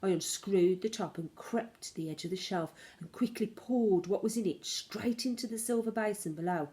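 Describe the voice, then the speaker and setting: A woman reading a children's story aloud like a teacher, in expressive narration with dramatic, varied emphasis. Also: mid-range voice, normal speed.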